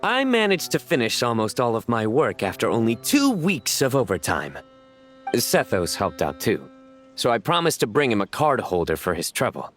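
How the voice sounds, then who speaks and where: A man acting an earnest, deadpan official who takes his hobby seriously, in a video game. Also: mid-range voice, normal speed, soft background music. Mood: matter-of-fact, pleased. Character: earnest deadpan male official, avid card game fan.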